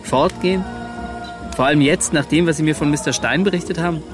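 A man acting as an earnest young adventurer in a radio drama, excitable and impassioned. His voice sits fairly high and he leans emphatically on his phrases, with music playing underneath. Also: a little fast, close to an everyday voice. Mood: fervent, moved. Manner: impassioned, emphatic, breaks into short repeated questions. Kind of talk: German radio play acting.

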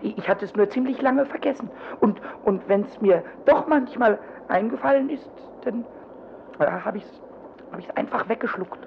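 A man's voice: high voice, normal speed, gravelly. A male actor playing a small, mischievous, childlike kobold in a German radio play, stammering eagerly and breaking into giggles. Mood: subdued, earnest. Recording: muffled old recording with some noise.

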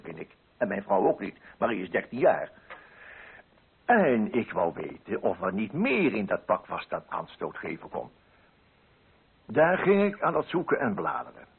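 An actor playing a pompous, prudish middle-aged coffee broker with a Netherlands Dutch accent in a radio play monologue. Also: male voice, fairly low pitch, full character voice. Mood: indignant, fussy. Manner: pompous, fussy, self-righteous. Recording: husky, narrow-band old radio recording.

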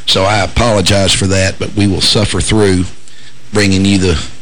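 Hoarse, raspy talk radio host. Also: male voice, sits low, flat delivery, normal speed, a bit bright, slight background noise, poor audio. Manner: wry, joking asides, frequent fillers and restarts. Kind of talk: casual talk radio banter.

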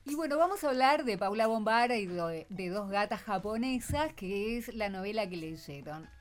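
A woman's voice: medium pitch, lively intonation, normal speed. A woman with an Argentine accent hosting an informal radio chat with schoolchildren, prompting with short questions and echoed answers. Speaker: radio host.

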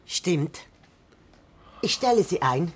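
An actress playing a brisk, tart café worker in a radio drama. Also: female voice, on the low side, expressive, husky, full character voice.

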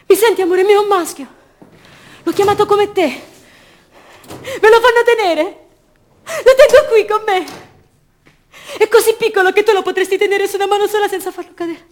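A woman's voice: very high-pitched, normal speed, long pauses. A woman acting an anguished young imprisoned mother in a radio theatre monologue, theatrical and repetitive, by turns defiant, tender and fearful. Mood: joyful, tender. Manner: theatrical, repetitive, emotionally raw delivery.

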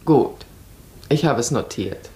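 An actress playing a self-assured, perceptive baroness in a radio play, speaking decisively, eloquently and with emphasis. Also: female voice, a bass voice, lively intonation, takes it slowly, dark tone, heavily acted. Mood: polite, practical.

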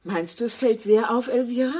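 An actress playing an excitable, sentimental and kindly older lady in a German radio drama, gushing and fussy. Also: fairly high pitch, moderate pace, husky.

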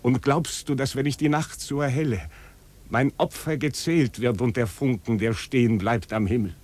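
An actor playing a proud, doomed prince in a poetic 1950s German radio drama, speaking in solemn, intense, declamatory questions. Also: male voice, medium pitch, steady tone, moderate pace, a little mellow, close to an everyday voice. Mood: fervent, brooding. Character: proud doomed prince, brooding and solemn, addressing his servant.

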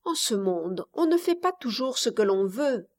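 A woman voicing a courteous elderly gentleman in an audiobook, measured and moralizing. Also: fairly high pitch, sing-song. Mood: admonishing. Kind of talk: audiobook character voice.

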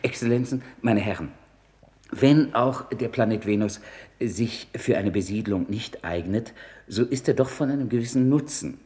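A man's voice: medium pitch, normal speed, warm tone, close to an everyday voice. A man playing a precise state secretary who reports in a dry, formal, bureaucratic manner in a 1950s radio drama. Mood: dry, official. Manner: formal, matter-of-fact, bureaucratic.

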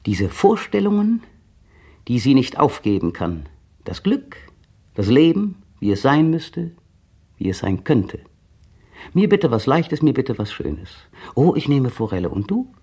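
Brooding, resentful husband, precise inner monologue, slow and husky, 1960s radio drama. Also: male voice, medium pitch, very animated, dark tone, exaggerated voice. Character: bitter, cold adult man resenting his partner. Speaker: male protagonist narrating inner monologue. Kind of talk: 1960s radio play acting.

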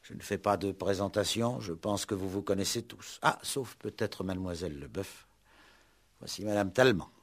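An actor playing a shrewd, ironic police commissioner in a 1960s French radio play, speaking with deliberate, mock-courteous drama. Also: male voice, sits low. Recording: old 1960s radio recording.

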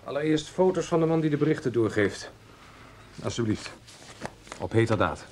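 A man acting a brisk, dutiful agent reporting to his superior in a radio drama. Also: mid-range voice, lively intonation, darkish tone, a little hiss or hum, lightly acted. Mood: businesslike.

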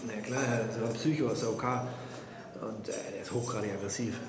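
A man's voice: fairly low pitch, fairly even intonation, fairly quick, music in the background, natural voice. An actor playing a blunt, wary male prison officer with colloquial speech in a naturalistic radio drama. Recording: echoey hall with loud noise.

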